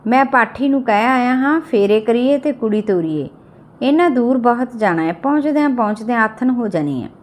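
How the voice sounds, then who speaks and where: A woman voicing a brisk, pushy male village matchmaker in an audio story. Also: fairly high pitch, lively intonation, natural voice. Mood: assuring, brisk.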